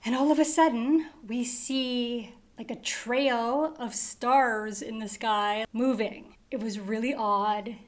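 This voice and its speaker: A woman on a book club podcast, hosting segments and telling a story in a lively, casual way with frequent laughs. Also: on the high side, slow, hardly any pauses.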